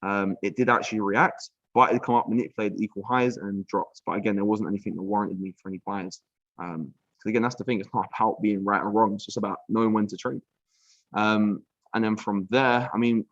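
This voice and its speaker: A young British man breaking down trading charts on a casual live stream, relaxed and chatty, full of "um", "bro" and "like". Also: lowish voice, a little fast, no echo, dull audio. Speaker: trading mentor. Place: villa room.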